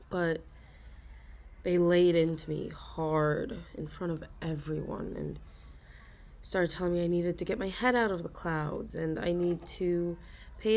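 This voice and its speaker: A young woman in an ASMR role-play, confiding softly to a listener, giggling often and halting with restarts. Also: on the low side, creaky, slight background noise. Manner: soft, giggly, self-conscious, halting with many restarts.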